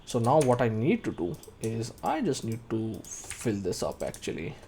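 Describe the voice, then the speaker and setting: A man in his thirties talks through a 3D modeling tutorial, calling out shortcuts and musing aloud as he works. His pitch moves up and down a lot. Faint typing and desk noise sit under his voice. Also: huge pitch swings, moderate pace, vocal fry, mellow. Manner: thinking aloud while working, calling out shortcuts. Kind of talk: screen-recorded software tutorial.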